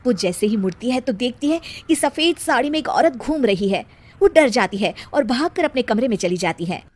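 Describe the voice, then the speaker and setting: A woman's voice: on the high side, expressive, normal speed, a little mellow. A woman reading scripted narration for a cartoon moral story as the storyteller.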